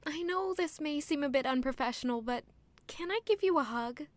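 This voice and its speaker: A woman's voice: sits high, lively intonation, normal speed, dry recording. An actress playing a polite, anxious young woman in royal service in an audio drama, earnest and a little hesitant. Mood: grateful, shy. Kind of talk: scripted audio drama acting.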